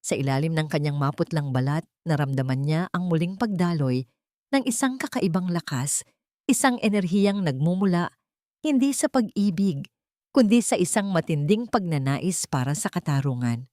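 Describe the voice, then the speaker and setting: A woman's voice: sits low, lively intonation, moderate pace, dark tone, dry recording, clean studio sound. A woman reading a scripted, dramatic story as its narrator.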